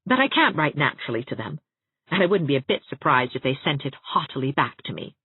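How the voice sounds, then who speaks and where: A woman's voice: sits low, big pitch swings, brisk, slightly rough, dry recording, narrow, tinny audio, exaggerated voice. A woman reading a storybook as a young female schoolteacher, courteous and rather formal. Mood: rueful.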